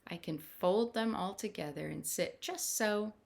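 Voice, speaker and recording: A woman hosting a children's storytime from home, reading aloud in an expressive, deliberate, sing-song way. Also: mid-range voice, gravelly. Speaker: children's storytime host, librarian. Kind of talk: read-aloud picture book storytelling for young children. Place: at home, indoors.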